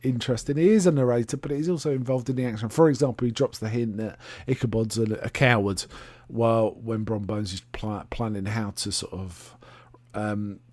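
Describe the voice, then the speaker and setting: A man hosting a casual solo review, talking in a halting, rambling way and often correcting himself. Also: on the low side, a little mellow, dry recording. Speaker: host reviewing film and television.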